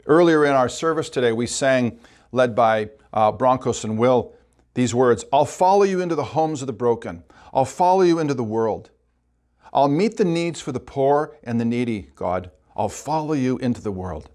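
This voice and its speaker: A middle-aged man preaching as pastor, speaking slowly and reflectively in a room in front of a screen. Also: mid-range voice, animated, a little mellow. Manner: slow, measured, reflective. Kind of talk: sermon.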